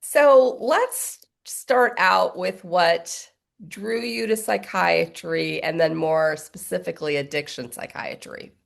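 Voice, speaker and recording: A woman hosting a podcast interview, opening with a measured scripted welcome. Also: lowish voice, creaky. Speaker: podcast host.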